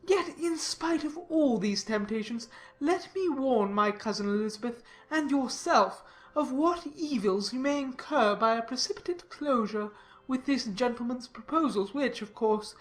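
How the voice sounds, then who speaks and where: A woman's voice: high voice, fairly bright tone. A woman voicing a pompous, sanctimonious clergyman reading out his formal letter in a dramatised audiobook. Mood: cautioning. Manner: pompous, formal, deliberate emphasis.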